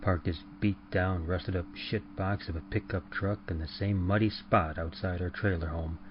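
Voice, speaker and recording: A man's voice: low-pitched, fairly even intonation, normal speed, highs cut off, noisy background. A man narrating a horror story and announcing the show in a theatrical, menacing style. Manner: theatrical, rhyming intro read with menace. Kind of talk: dramatic horror reading.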